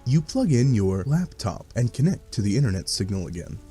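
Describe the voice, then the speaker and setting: A man's voice: mid-range voice, big pitch swings, brisk, mellow. A man reading scripted second-person webcomic narration with wry, dry asides. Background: music.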